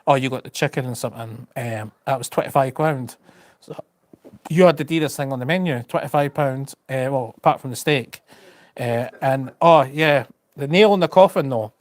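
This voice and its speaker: A man's voice: medium pitch, normal speed, no room sound. Man, Scottish accent, slight stutter, rambling casual chat, mid-range voice, dry sound. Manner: stutters a little, repeats words; rambling, frank. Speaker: travel vlogger on a live stream.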